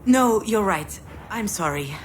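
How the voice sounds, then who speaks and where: A woman voicing a flustered, defensive adult daughter in a naturalistic visual novel game, speaking in a halting, sardonic way. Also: mid-range voice, lively intonation, a little hiss or hum.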